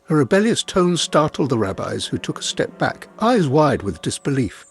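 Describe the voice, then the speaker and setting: A man's voice: a little high, big pitch swings, normal speed, vocal fry, husky. A man telling a scripted folk tale as a storyteller. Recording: music bed behind the voice. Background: music.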